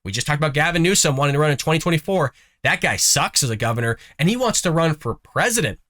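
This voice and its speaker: A man's voice: on the high side, expressive, fast, fairly bright tone. A man hosting a political commentary show, talking casually with folksy, sarcastic asides while congested from a cough. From United States. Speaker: host of a conservative news show.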